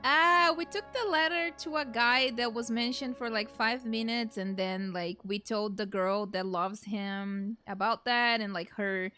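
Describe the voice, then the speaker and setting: A young woman giving casual, rambling and expressive commentary as she plays a video game. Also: fairly high pitch, unhurried, hardly any pauses, clean tone, soft background music. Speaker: gaming streamer. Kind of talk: casual let's play commentary.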